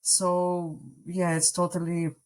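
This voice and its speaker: A woman from Russia, whose first language is Russian, talks in a casual podcast chat. She speaks reflectively and often hesitates, filling pauses with "uh" and "like" as she works through her thoughts. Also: lowish voice, completely flat delivery. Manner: reflective, hesitant, many fillers.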